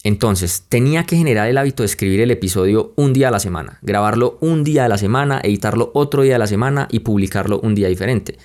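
A man's voice: lowish voice, expressive, quick pace, hardly any pauses, a little mellow. A young man from Colombia with a Colombian accent, hosting a podcast and telling a personal story in a reflective, conversational way. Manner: reflective, conversational, speaks straight to listeners. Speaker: podcast host. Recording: music playing under the voice.

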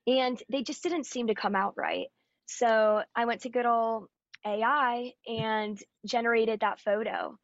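Raspy-voiced woman, chatty and upbeat. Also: on the high side, fairly even intonation, measured pace, dry recording. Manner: upbeat, chatty, frequent ums and likes. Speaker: guest singer-songwriter. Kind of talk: casual interview chat. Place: Nashville area. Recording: dull, dry lo-fi audio.

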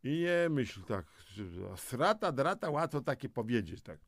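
A man's voice: medium pitch, sing-song, a little slow, no echo, studio quality. A man presenting a self-help audio guide, speaking straight to the listener in an encouraging, motivational style. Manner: encouraging, addresses the listener directly. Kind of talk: motivational scripted narration.

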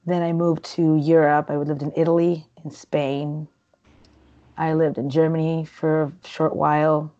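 Low-voiced chatty woman, lo-fi. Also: little pitch movement, unhurried, dark tone. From Peru. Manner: chatty and rambling, many fillers and restarts. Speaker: guest. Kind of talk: casual interview conversation.

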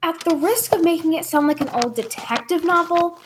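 A woman's voice: extremely high pitch, normal speed. A woman playing an inquisitive, flustered female doctor in a 1940s radio drama, exclamatory and full of questions. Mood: earnest, puzzled. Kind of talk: 1940s old-time radio acting. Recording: clearly noisy recording with clicks.

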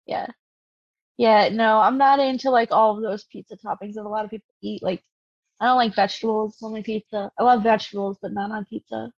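A woman's voice: medium pitch, steady tone, brisk, no room sound, lo-fi sound. A woman co-hosting a podcast in casual, blunt chat with some swearing. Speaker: podcast co-host.

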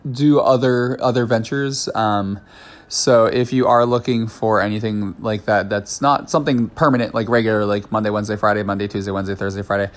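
A man hosting his own podcast, talking casually and candidly while he has a cold, with lots of fillers and quick asides. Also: lowish voice, steady tone. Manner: chatty, candid, many fillers and self-asides. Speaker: podcast host. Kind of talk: casual solo podcast talk.

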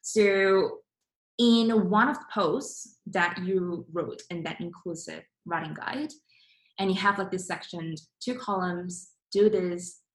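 A young woman from Singapore hosting a casual interview, chatty and quick to restart her sentences. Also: fairly low pitch. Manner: chatty, restarts often, many fillers. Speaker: podcast host. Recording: echoey room.

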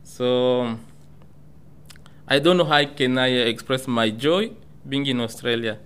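A man new to English speaks slowly and carefully, pausing often on fillers. His voice is smooth and clear, sitting in an ordinary middle pitch. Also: a bit bright. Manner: halting learner English, frequent fillers. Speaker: migrant English student on community radio. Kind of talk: personal talk.

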